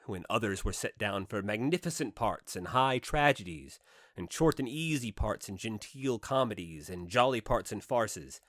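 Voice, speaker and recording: A man reading a classic first-person novel aloud as an audiobook narrator, with theatrical, emphatic phrasing and dramatic pauses. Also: lowish voice, a bit bright, no echo, studio quality.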